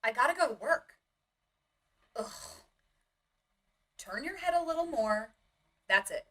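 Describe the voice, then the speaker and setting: A woman's voice: high-pitched, lively intonation, a little slow, a bit bright, recorded from a distance, full character voice. A woman playing a brusque, jaded young city roommate in a motion comic, curt and bossy.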